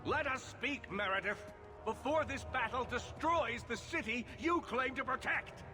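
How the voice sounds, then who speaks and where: An actor playing a grave, pleading older leader of mages in a fantasy video game. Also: male voice, very high voice, measured pace, music in the background. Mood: urgent.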